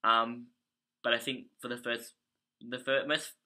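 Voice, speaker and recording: A man's voice: medium pitch, flat delivery, unhurried, bright, forceful tone. An Australian man speaking in an unscripted video diary, rambling hesitantly and often correcting himself as he goes. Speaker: vlogger, English teacher abroad.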